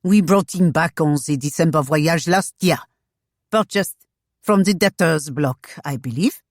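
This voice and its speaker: A woman's voice: deep voice, measured pace, no room sound, studio quality. A woman voicing a testy, gossiping French husband in a storybook, sardonic and clipped, with a French accent. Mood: smug.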